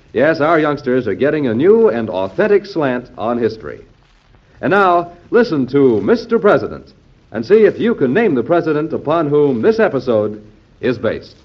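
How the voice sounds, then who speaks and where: Animated radio announcer, male. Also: on the high side. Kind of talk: scripted old-time radio narration. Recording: dull, band-limited old radio recording.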